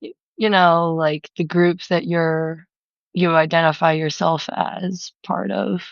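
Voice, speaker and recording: Sex: woman. Origin: United States. Role: guest. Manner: relaxed, measured. Pitch: lowish. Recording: dull, lo-fi, dry. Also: vocal fry, no room sound. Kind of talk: casual chat.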